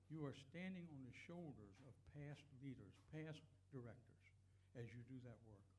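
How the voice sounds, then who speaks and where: A man's voice: mid-range voice, expressive, moderate pace, darkish tone, slight background noise. A man leading a church service, giving blessings and announcements in an earnest, unscripted, encouraging way.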